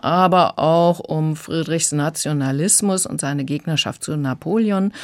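A woman's voice: deep voice, a little mellow. A woman explaining and reviewing as a critic in a radio conversation.

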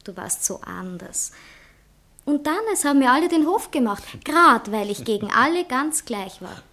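A woman's voice: sits high, animated, moderate pace, bright, forceful tone, heavily acted. A woman playing a gushing, touchy bohemian poetess in a satirical comedy, with an Austrian accent and an affected, earnest manner. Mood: defensive. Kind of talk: satirical stage comedy acting.

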